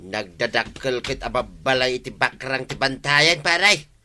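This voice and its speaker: A man's voice: mid-range voice, expressive, measured pace, pushed and bright, slight background noise. An actor with an Ilocano accent playing an older village man with rheumatism in a radio comedy, talking in a plain, mild way. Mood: amused. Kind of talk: 1950s-style radio comedy acting.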